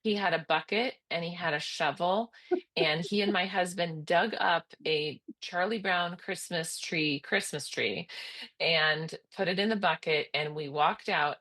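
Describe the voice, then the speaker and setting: A woman's voice: deep voice, a bit bright. A middle-aged woman, a podcast guest, telling a rambling story in a casual chat, full of fillers and restarts.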